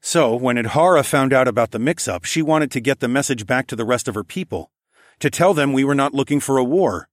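A man's voice: medium pitch, animated, fairly quick, dry recording, studio quality, pitched above the performer's own voice, lightly acted. A man voicing an earnest, protective young male hero in an audiobook story.

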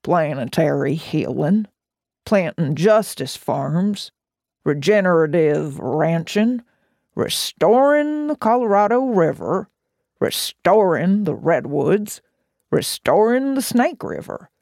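Slow gravelly speaker, dry studio voice-over, steady roll-call reading. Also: mid-range voice, dry recording. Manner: slow, even listing of names. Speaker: representative.

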